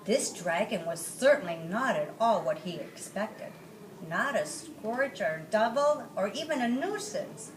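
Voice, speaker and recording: A woman's voice: mid-range voice, expressive, a little slow. A woman reading a children's story aloud as narrator. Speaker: story reader. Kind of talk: children's book read-aloud. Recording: roomy, distant mic, faint noise.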